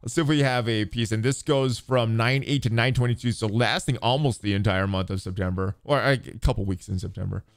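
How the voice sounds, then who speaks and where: A man's voice: medium pitch, fairly quick, few pauses, dry recording, clean studio sound. A man hosting a gaming channel, giving casual running commentary on a game update.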